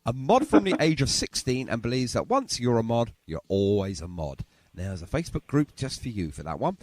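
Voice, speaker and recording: A man's voice: medium pitch, sing-song, fairly quick, darkish tone, no echo. A man hosting a radio show in a jokey, rambling, self-deprecating chatty style. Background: music.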